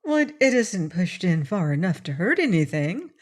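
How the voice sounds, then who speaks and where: A woman's voice: lowish voice, sing-song, moderate pace. A woman voicing a sweet, curious little girl. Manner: earnest, curious.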